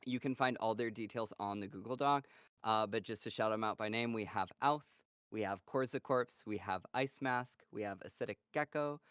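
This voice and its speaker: A man with a low, smooth voice hosts a live online Q&A in a loose, chatty way, laughing often. The sound is dry and close. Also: moderate pace, fairly bright tone, dry recording. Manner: laughs often, friendly wrap-up plugs. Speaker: host of a live table read and Q&A. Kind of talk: casual live-stream chat.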